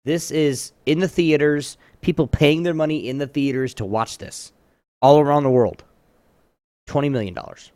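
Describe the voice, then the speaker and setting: A man's voice: on the high side, moderate pace, a little mellow, dry recording. A man hosting a casual gaming talk show, chatting informally and running a trivia game.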